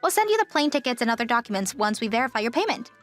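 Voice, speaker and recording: A woman playing a kind, professional young travel agent in a manga dub, gently firm, polite and composed. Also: a little high, very animated, rapid-fire, soft background music. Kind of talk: anime-style manga dub acting.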